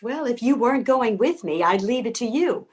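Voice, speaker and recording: A woman's voice: on the high side, animated, moderate pace, a little mellow, natural voice. A woman playing a bossy, practical older woman in a comic readers theater piece, impatient and scolding but caring underneath. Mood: resigned, softer. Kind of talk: comedic readers theater acting.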